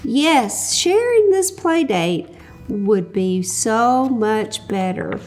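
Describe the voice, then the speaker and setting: Woman reading a picture book aloud to kids, high, lively, slow. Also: faint music behind. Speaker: children's story reader.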